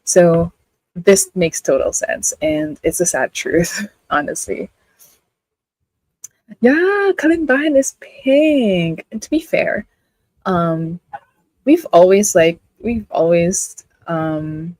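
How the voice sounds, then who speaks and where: A young woman with a Filipino accent chats unhurriedly, reading out viewer comments and leaning on "like" as a filler. Her voice sits a little low, and the sound is close and dry with no room around it. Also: sing-song, takes it slowly, pauses often, darkish tone, no room sound, low-quality recording. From Philippines. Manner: chatty, many "like" fillers, laughs often. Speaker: livestream host. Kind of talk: casual live-stream chat reading out viewer comments.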